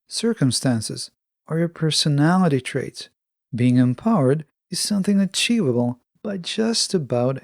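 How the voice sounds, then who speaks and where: A man hosting a self-help podcast, delivering a scripted monologue in a measured, instructive manner. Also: medium pitch, a little mellow, dry recording, studio quality. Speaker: podcast host.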